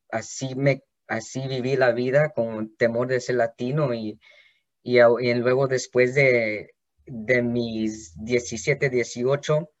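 Slow, hesitant man on a podcast. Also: medium pitch, dull audio. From Los Angeles, California. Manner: slow, hesitant, with many restarts. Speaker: podcast host. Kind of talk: personal monologue.

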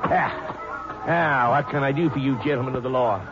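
A man's voice: mid-range voice, a little fast, close to an everyday voice. A man playing a wordy, evasive carnival showman with a florid, mock-courteous manner in a 1950s radio drama. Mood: smooth-talking. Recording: muffled old-time radio recording with music.

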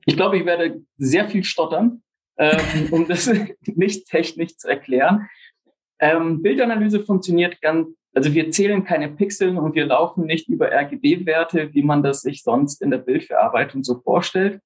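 Chatty husky-voiced man in his forties. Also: on the high side, a little slow, poor audio. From grew up in Brazil. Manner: relaxed, chatty, many fillers, self-deprecating humor. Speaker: startup founder, podcast guest. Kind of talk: casual interview talk.

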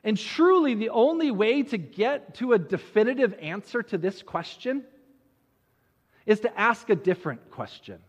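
A man preaching a sermon, telling a Bible story conversationally with dramatic pauses and rhetorical questions. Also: high voice, big pitch swings. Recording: muffled audio with long hall reverb.

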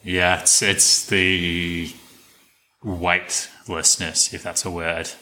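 A man's voice: deep voice, extremely slow, a little hiss or hum. A man co-hosting a podcast, chatting casually with many fillers and hesitations. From Australia. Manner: relaxed, hesitant with fillers, laughs often. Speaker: podcast co-host. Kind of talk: casual chat.

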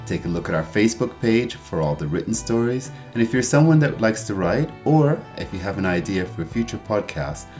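A man's voice: deep voice, darkish tone. A man hosting a travel podcast, introducing the show and interviewing his guest.

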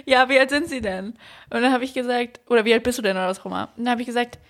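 A woman in her twenties co-hosting a casual chat, chatty and quick to laugh, dropping English words into her talk. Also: fairly high pitch. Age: 20s. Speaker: podcast co-host.

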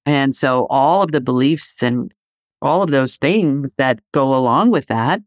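A solo podcast host from Greece, musing aloud and pressing the listener with rhetorical questions. The talk is loose and conversational, dotted with "right?" and "you know". The voice is at a middling pitch and sits dry and close, with no room sound. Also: animated, a little slow, no room sound. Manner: provocative, poses rhetorical questions.

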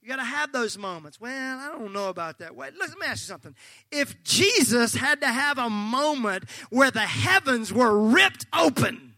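A male pastor preaching a sermon, fervent and folksy, joking with the congregation and breaking into shouts. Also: extremely high pitch, very animated, moderate pace, dry recording. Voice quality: slightly gravelly.

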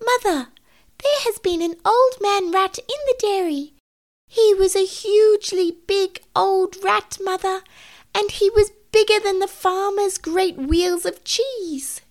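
A woman voicing an excitable young girl kitten in a storybook reading, with a childlike, exaggerating manner. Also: extremely high pitch, slow pace, hardly any pauses, pitched above the performer's own voice, full character voice. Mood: indignant, huffy.

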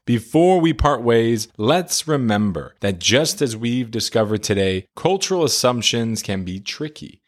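A man hosting an educational podcast, reading a scripted introduction and outro in an upbeat, announcer-like way. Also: fairly low pitch. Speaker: podcast host.

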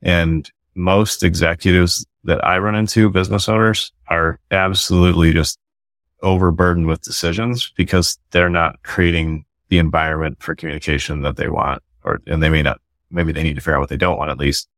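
A man's voice: deep voice, flat delivery, brisk, a bit bright, no echo, clean studio sound. A man, a guest author and company founder, explaining his ideas earnestly in a casual podcast conversation and often restarting his sentences. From United States.

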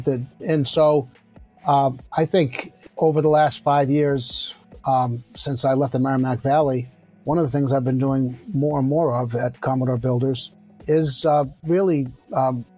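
Sixties male founder, mid-pitched, reflective and halting, with faint music underneath. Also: darkish tone. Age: 60s. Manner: measured, thoughtful, many fillers and restarts. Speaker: construction company founder. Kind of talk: conversational interview answers.

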